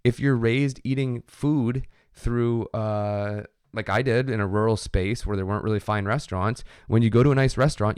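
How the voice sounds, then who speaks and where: A man's voice: lowish voice, a little mellow, no room sound, clean studio sound. A man teaching a sociology class in a conversational lecture, often checking in with "right?". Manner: conversational, frequent "right?" tag questions. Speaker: sociology instructor. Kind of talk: recorded lecture.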